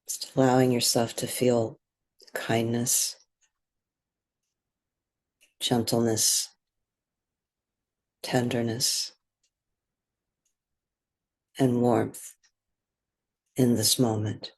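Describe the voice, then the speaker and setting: A husky, breathy voice leads a guided meditation at a very slow pace. The delivery is gentle and measured, with long pauses between short phrases of instruction. The pitch sits in a middle range. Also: flat delivery.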